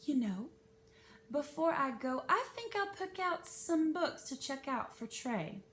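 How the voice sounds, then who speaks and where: A woman's voice: very animated, a little slow, fairly bright tone, full character voice. A woman voicing a prim, sweetly smug, insistent mother in a children's storybook reading, speaking emphatically. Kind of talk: children's novel read-aloud. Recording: noisy with low rumble.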